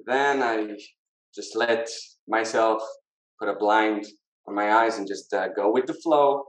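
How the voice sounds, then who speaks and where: A man's voice: on the low side, unhurried. A man in his thirties with a Portuguese accent, talking reflectively as a podcast guest and searching for words. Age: 30s. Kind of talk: reflective interview talk. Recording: roomy, echoing sound.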